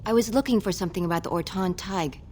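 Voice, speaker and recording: A woman's voice: mid-range voice, fast talker, a little mellow, slight background noise, close to an everyday voice. An actress playing a shy, hopeful young female dwarf scholar in a fantasy video game, earnest and apologetic. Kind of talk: video game character acting.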